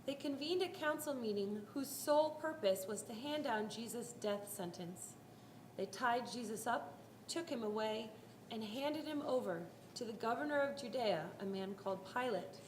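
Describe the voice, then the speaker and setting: A woman's voice: on the high side, normal speed. A woman narrating a scripted dramatic reading of a Bible story. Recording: roomy echo, faint background noise.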